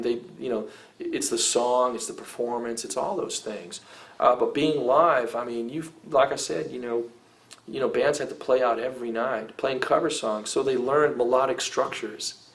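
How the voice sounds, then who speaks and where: A man's voice: mid-range voice, normal speed. Rambling middle-aged man, lots of "you know". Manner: rambling, heavy use of "you know" and "I mean", frequent restarts. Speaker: rock vocalist giving advice. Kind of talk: casual interview, reminiscing.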